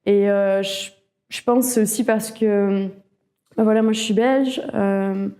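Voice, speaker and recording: A woman's voice: mid-range voice, steady tone, measured pace, warm tone. A woman from Alsace giving a personal testimonial in a reflective, hesitant way, often rephrasing as she goes. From Alsace, France. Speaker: cultural network worker, interviewee.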